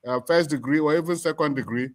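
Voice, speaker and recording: A middle-aged Nigerian man with a Nigerian accent, speaking as an interview guest in a deliberate, emphatic way, repeating words as he forms his points. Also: fairly high pitch. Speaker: community association chairman, interview guest. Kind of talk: explanatory interview talk.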